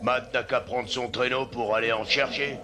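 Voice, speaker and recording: Un comédien qui joue un villageois sceptique dans un dessin animé doublé. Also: male voice, medium pitch, steady tone, moderate pace, background noise, natural voice. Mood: sceptical. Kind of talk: animated film dub.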